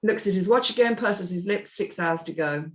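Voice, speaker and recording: A woman's voice: on the low side, normal speed. A woman reading scripted screenplay narration and stage directions as the narrator of a drama table read.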